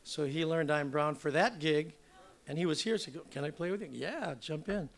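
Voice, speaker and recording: An older man, slightly hoarse, introducing his song in casual, rambling stage talk with many fillers. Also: a little high, normal speed. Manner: rambling, filler-heavy, chatty. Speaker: singer-songwriter. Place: theater stage at a museum event.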